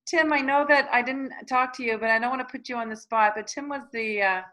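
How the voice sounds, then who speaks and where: A woman hosting an online group discussion, speaking in a halting, thinking-aloud way as she facilitates. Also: high voice, pushed and bright. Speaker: host moderating an online panel. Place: online video call.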